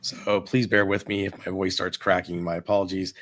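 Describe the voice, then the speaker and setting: A man with a hoarse voice from a recent cold, telling a rambling story as a podcast guest. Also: low-pitched, a little fast. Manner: relaxed, rambling with fillers. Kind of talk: casual storytelling.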